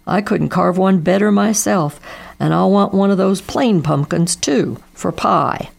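Woman as a friendly fellow calling out, husky. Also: medium pitch, a little slow. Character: friendly male motorist calling out to buy.

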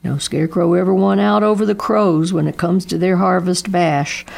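A woman's voice: on the low side, steady tone, normal speed, gravelly, a little mellow. A woman voicing a gloomy, resigned father scarecrow.

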